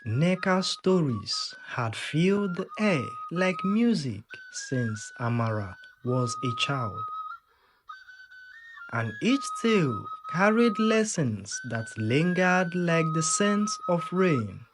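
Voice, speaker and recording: A storyteller narrating a scripted folktale in a dramatic, measured way with deliberate pauses. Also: mid-range voice, very animated, rough recording. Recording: music under the voice.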